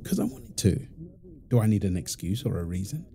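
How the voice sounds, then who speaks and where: A man playing a doting, playful young husband and proud father in a wholesome audio roleplay, soft and affectionately teasing. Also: fairly low pitch, huge pitch swings, fairly quick, gravelly, crowd noise, heavily acted.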